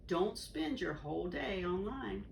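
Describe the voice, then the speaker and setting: A woman reading a children's educational book aloud in a deliberate, instructive way. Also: medium pitch, animated, gravelly. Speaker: volunteer story reader. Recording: roomy echo, faint background noise.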